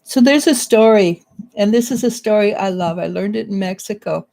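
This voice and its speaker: A middle-aged woman giving a casual psychic reading, halting and restarting as she searches for words. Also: mid-range voice, gravelly, warm tone. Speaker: psychic reader. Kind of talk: casual spiritual reading and chat.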